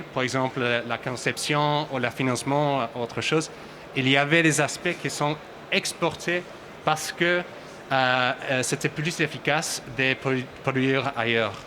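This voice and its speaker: A man from Australia speaking with an Australian English accent as a researcher on a conference panel, halting and restarting often as he searches for words. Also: mid-range voice, pushed and bright, slight background noise. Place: conference hall.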